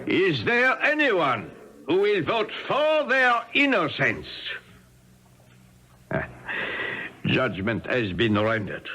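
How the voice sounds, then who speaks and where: Stern village headman in old radio drama, slow n formal, bright forceful voice. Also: a little high, wildly swooping pitch, heavily acted. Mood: solemn, resolute. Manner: formal, deliberate, solemn. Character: stern French village leader presiding over wartime trial. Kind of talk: 1970s radio drama acting.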